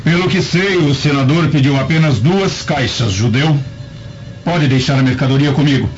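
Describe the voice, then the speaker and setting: A man's voice: a little high, normal speed, close to an everyday voice. Man acting a brusque palace sentry, muffled recording. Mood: scornful. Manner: curt, dismissive. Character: brusque, scornful male palace sentry on guard. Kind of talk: radio drama acting. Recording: muffled radio drama with music.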